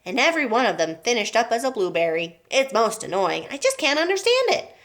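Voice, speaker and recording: A woman's voice: on the high side, sing-song, a little fast, a bit bright. A woman voicing an eccentric male candy maker who sighs and frets. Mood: sighing. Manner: fretful, sighing, apologetic.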